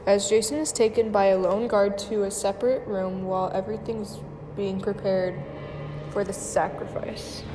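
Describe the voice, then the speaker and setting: A woman's voice: mid-range voice, steady tone, normal speed. Young woman narrating in a reverberant hall. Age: young adult. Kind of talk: scripted story narration. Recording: noisy hall with long reverb.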